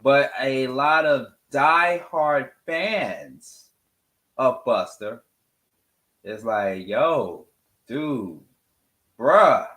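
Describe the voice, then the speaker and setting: A man's voice: mid-range voice, expressive, drawn-out words, long pauses, a bit bright, echoey room. A man hosting a morning show, talking casually about music and repeating phrases for emphasis. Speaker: radio morning show host.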